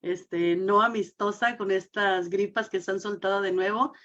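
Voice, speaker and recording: A woman's voice: mid-range voice, steady tone, moderate pace, a bit bright. Dull-sounding recording of a woman radio host. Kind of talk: community radio interview hosting. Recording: dull, band-limited sound.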